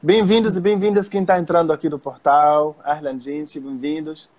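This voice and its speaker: A man's voice: on the high side, fairly even intonation, moderate pace, darkish tone. Playful Egyptian man. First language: Arabic. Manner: playful, joking. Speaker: interview guest.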